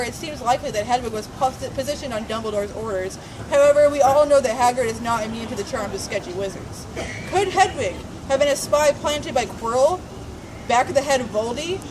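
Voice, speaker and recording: A woman giving a playful, mock-serious dramatic reading of a humorous essay. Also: high voice. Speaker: reader of a fan zine. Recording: noisy outdoor urban recording with traffic.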